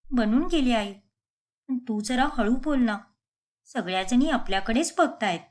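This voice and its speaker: A woman voicing a newly rich, image-conscious young daughter-in-law in an animated story, explaining herself defensively. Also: on the high side, a little slow.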